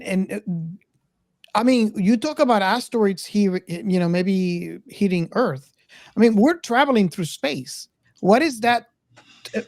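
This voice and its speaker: A woman with a slightly low voice hosts a casual discussion in a very dry recording. She addresses listeners deliberately, doubling back on phrases to stress them. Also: vocal fry, a little mellow, no echo. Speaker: podcast host.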